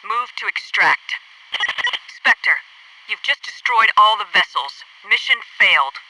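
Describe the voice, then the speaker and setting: A commanding American female military officer briefs a squad in a video game. Her voice is very bright and forceful, composed and clipped. It comes through a radio filter, sounding set back from the mic. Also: mid-range voice, expressive, slow, no echo, distant mic, heavily acted, low-quality recording. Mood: stern. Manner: clipped, authoritative, formal. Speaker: mission control officer.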